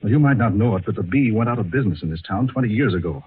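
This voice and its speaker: A man's voice: on the low side, animated, very fast, close to an everyday voice. An American actor playing a skeptical but caring male companion in a 1940s radio drama. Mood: curious, surprised. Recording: muffled, lo-fi vintage radio broadcast recording.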